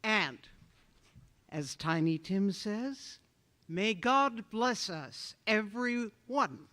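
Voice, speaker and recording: An announcer reading a scripted theater promotion in a measured delivery. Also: medium pitch, animated, sharp tone.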